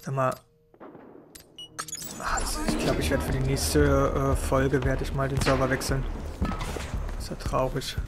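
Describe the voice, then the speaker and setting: A man's voice: medium pitch, completely flat delivery, a little slow, long pauses. A man with a cold giving casual, grumbling commentary over his online shooter match. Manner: grumbling, self-talking. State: has a cold. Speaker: let's play commentator. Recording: voice over loud game music.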